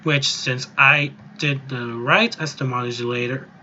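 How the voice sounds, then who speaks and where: A man giving a casual, improvised software tutorial, explaining step by step in an informal, rambling way. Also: medium pitch, fairly even intonation, measured pace, lo-fi sound, a little hiss or hum. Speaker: music software tutorial presenter. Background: voice-over on a screen recording.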